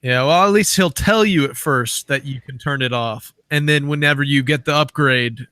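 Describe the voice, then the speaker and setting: A man's voice: mid-range voice, moderate pace, pushed and bright, no echo, studio quality. A man hosting an interview, talking casually and conversationally as he sets out questions and ideas. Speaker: interviewer, podcast host.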